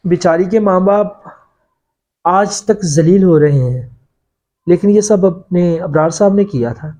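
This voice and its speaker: A man's voice: high-pitched, measured pace, mellow. A man voicing a long-serving factory worker in a story, an earnest, secretive informant who warns deferentially. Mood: grave confiding. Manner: confiding, cautioning, deferential.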